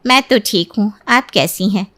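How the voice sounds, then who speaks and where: A woman's voice: mid-range voice, moderate pace, bright, forceful tone, lightly acted. A woman voicing a worried middle-aged mother of a married daughter in a story, anxious and questioning. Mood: concerned.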